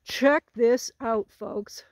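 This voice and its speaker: A woman's voice: a little high, very slow, dry recording. A woman in her sixties filming herself on a hilltop trail hike, chatting and joking with a laugh while she walks outdoors. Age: 60s. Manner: chatty, jokey, laughs often. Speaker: vlogger hiking with a group. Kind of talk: casual talk to camera. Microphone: phone held while walking.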